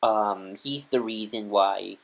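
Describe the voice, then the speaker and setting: A man's voice: on the low side, creaky. Hesitant, rambling man, casual fan vlog. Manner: hesitant, rambling, halting and self-correcting. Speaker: vlogger reviewing audio dramas. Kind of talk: casual unscripted fan recommendation talk.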